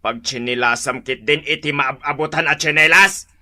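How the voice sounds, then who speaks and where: A man's voice: a little high, fairly even intonation, normal speed, bright, forceful tone. A man playing a stern, suspicious boss in a radio drama, speaking in a commanding, interrogating manner. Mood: sarcastic. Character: stern, suspicious male boss scolding his servant. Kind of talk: Ilocano radio drama acting.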